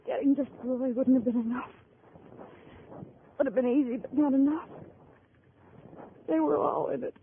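A woman's voice: sits high, steady tone, moderate pace, creaky, pauses often. An American woman acting a dying, devoted gangland widow, weak and faltering, in a 1950s radio drama. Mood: bitter, unrepentant. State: weak, faltering, near death. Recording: muffled, noisy old radio recording.

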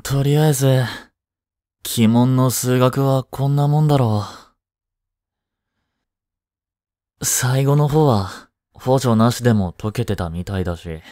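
A young man playing a blunt, teasing but caring studious high-school boy in an intimate audio drama, speaking casually. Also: measured pace, no room sound, clean studio sound, natural voice. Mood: relaxed, teasing. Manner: casual, curt, softly teasing. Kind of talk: intimate Japanese boyfriend-roleplay audio drama.

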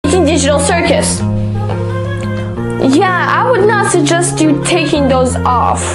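Young woman reactor, high voice with lively swings, slangy interjections, loud music behind her. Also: measured pace. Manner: slangy, interjecting in short bursts. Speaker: reaction video host. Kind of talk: casual reaction commentary. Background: music and cartoon dub audio.

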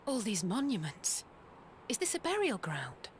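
A woman's voice: on the high side, huge pitch swings, a little slow, slight background noise. An actress playing an inquisitive, eager young female adventurer in a video game. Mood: surprised, curious. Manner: eager questioning. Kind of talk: scripted video game cutscene acting.

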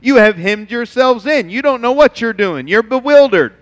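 A man's voice: very high-pitched, animated, moderate pace. A middle-aged man, preaching a sermon on a church stage and acting out God's voice in the quotes he tells. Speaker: pastor. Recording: reverberant church hall.

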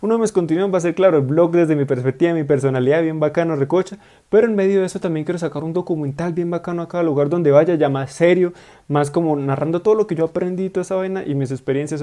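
A young man, chatting excitedly in slang as he reacts to a video in a small room, speaking into earphones. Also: fairly high pitch. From Colombia. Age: 20s. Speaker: YouTube reactor. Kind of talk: casual reaction commentary. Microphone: wired earphone mic.

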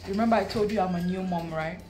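A woman's voice: fairly low pitch, fast, music in the background. A woman in her thirties, a new mom, vlogging casually at home and talking to her viewers with emotion. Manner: emotional, chatty, addresses viewers as guys. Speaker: lifestyle vlogger. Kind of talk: casual vlog talk to camera. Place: home indoors.

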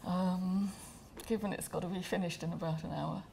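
Woman director, deep fry-edged voice, halting panel talk in a big room. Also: steady tone, darkish tone, slight background noise. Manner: hesitant, many fillers and restarts. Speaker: film director. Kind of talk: panel discussion answer. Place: large gallery room.

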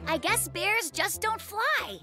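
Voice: extremely high pitch, expressive, slow, full character voice. A performer voicing a doubtful, earnest little boy polar bear in a children's cartoon. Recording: music behind the voice. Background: children's cartoon music.

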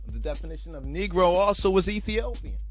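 A man's voice: sits high, expressive, fairly quick, faint music behind. A man hosting a religious talk podcast, rambling and preachy, often checking "you understand?". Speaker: podcast host.

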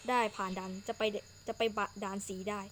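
A woman's voice: mid-range voice, fairly even intonation, brisk, sharp tone. A woman hosting a gaming video, giving informal gameplay commentary and thinking aloud as she goes. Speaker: gaming channel host. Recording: clearly noisy home recording.

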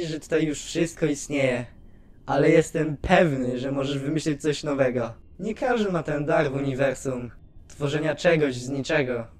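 A man playing an excitable, chatty skeleton artist guardian who is prone to brooding, in an animated fan dub with expressive delivery. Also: a little high.

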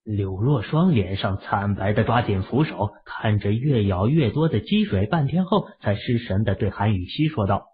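A man narrating an audio drama in a steady storytelling manner, with a husky, breathy voice. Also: fairly low pitch, moderate pace, hardly any pauses. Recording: muffled lo-fi audio.

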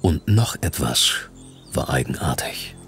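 A man with a deep voice narrating a fantasy audiobook dramatically from a script. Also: animated, measured pace, vocal fry, a bit bright, faint music behind.

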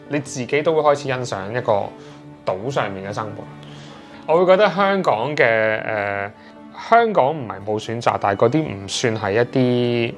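A man in his thirties with a Hong Kong Cantonese accent, chatting in an interview at home and pausing often with fillers and restarts. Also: mid-range voice. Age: 30s. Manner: hesitant, many fillers and restarts. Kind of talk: casual interview chat. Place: indoors in a sea-view flat.

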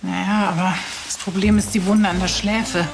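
A woman's voice: mid-range voice, level tone, normal speed, vocal fry, over music, natural voice. A woman playing a dry, precise forensic pathologist in a TV crime comedy. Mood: matter-of-fact. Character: matter-of-fact, dry female forensic pathologist. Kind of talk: scripted TV comedy crime drama acting.

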